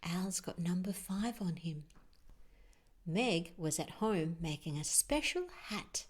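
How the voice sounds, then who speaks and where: Female storyteller for preschool picture books: slow delivery, lively intonation, chatty explanatory asides and questions to the child. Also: fairly low pitch, husky. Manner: expressive, explains pictures, puts questions to young listeners.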